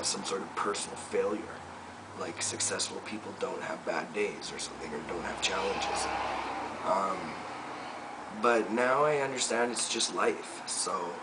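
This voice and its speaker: A young man recording a personal video diary update, talking reflectively and softly with many pauses and fillers. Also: on the low side. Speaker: vlogger. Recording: distant mic with noticeable room noise.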